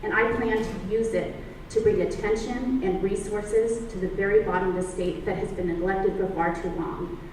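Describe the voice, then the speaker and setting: Confident woman giving a campaign pitch, sounds far off in a big echoey room. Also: steady tone, moderate pace, darkish tone, a little hiss or hum. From San Diego County, California. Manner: fluent, confident, rallying. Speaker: political candidate. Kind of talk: prepared forum speech. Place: public library forum room. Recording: echoey room, distant mic.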